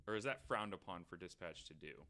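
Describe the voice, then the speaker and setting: Very bright, animated low-pitched man. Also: fairly quick. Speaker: podcast guest co-host. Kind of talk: casual joking chat.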